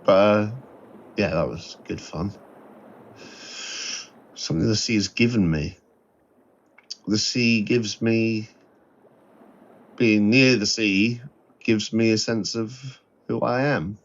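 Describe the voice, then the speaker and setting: A man's voice: lowish voice, slow, pauses often. A middle-aged man reminiscing casually and chattily, repeating phrases for emphasis. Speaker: interviewee sharing memories. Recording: dull, slightly lo-fi sound.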